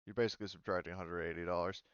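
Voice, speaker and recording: A man speaking casually as a game master at a tabletop game, explaining things in a rambling, halting way. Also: sits low, steady tone, clean tone, dry recording, highs cut off.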